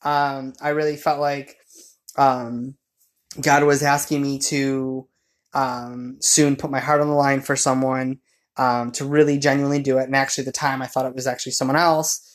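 A man telling a personal story in casual conversation, in a rambling, halting way, often correcting himself as he goes. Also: medium pitch, little pitch movement.

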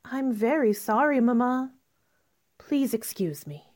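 A spirited young gentlewoman, played by a woman in a radio play. Her high voice moves up and down a lot, and she is polite yet firm and outspoken. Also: expressive, slow pace. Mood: polite, restrained. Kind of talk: theatrical radio drama acting.

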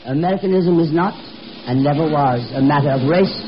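Higher-voiced vintage radio announcer, male. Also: fairly quick. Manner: declamatory, solemn. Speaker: announcer and narrator. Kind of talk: 1950s radio scripted narration. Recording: muffled, noisy old 1950s radio broadcast.

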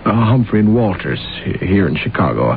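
Vain, stammering radio-drama assassin, low voice. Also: male voice, very animated, moderate pace, creaky. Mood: courtly, pretentious. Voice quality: hesitant, stammering. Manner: formal, self-important, courtly. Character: vain, bedraggled, self-deluded assassin, once an attorney. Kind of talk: old-time radio drama acting. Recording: muffled, noisy old radio broadcast recording.

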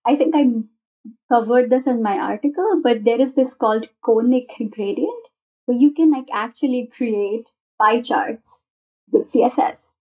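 Enthusiastic woman with a higher-pitched voice. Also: measured pace, darkish tone, rough recording. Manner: enthusiastic, gushing. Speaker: guest software developer. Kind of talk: casual conversational explanation.

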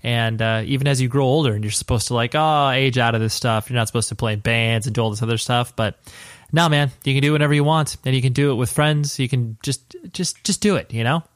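A man's voice: medium pitch, quick pace, no echo, studio quality. A man in his thirties hosting a podcast, chatting casually in an informal, rambling, slangy way. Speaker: podcast host.

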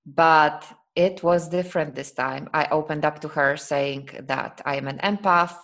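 A woman's voice: deep voice, a little slow, a bit bright. A woman from Poland hosting a podcast, talking in an earnest, reflective way and often breaking into laughter. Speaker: podcast host. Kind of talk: casual podcast chat.

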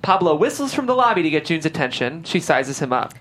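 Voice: mid-range voice, very animated, fairly quick, a bit bright. A reader delivering scripted stage directions as narrator at a table read.

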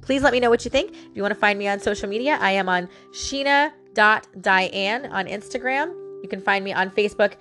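A woman's voice: mid-range voice, fairly quick, hardly any pauses, fairly bright tone, soft background music. A woman with a stuffy nose and a slightly nasal voice, rambling and musing aloud to her listeners as host of a personal talk show. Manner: chatty, musing aloud, addresses listeners as guys. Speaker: podcast host.

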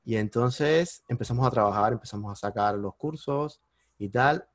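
A man with a Cuban accent, a podcast guest telling his story in lively casual conversation and bursting into high exclamations at the big moments. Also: moderate pace, dry recording. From Cuba. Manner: animated, jumps into high-pitched exclamations when retelling moments.

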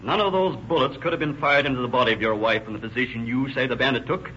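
An actor playing a probing, authoritative homicide captain in an old-time radio crime drama, questioning pointedly and briskly. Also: male voice, mid-range voice, bright, forceful tone, lightly acted. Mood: accusing. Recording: muffled, noisy old radio recording.

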